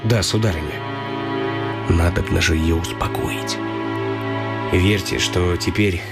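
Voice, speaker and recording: An actor playing a formal, easily exasperated gentleman suitor in a radio vaudeville, mannered and given to muttered asides. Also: low-pitched, fairly even intonation, takes it slowly, over loud music. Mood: earnest. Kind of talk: stage vaudeville radio play acting. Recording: musical backing in a radio play.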